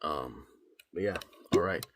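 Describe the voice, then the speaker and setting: A man's voice: low-pitched, a little slow. A male voice actor talking casually while practicing accents, rambling and self-critical, with the odd casual swear.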